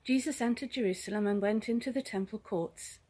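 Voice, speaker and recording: A woman's voice: mid-range voice, fairly quick, dark tone, husky. An older woman reads a Bible passage aloud. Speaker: Bible reader. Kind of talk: scripture reading aloud. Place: outdoors in a garden. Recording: faint outdoor noise with birdsong. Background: birdsong.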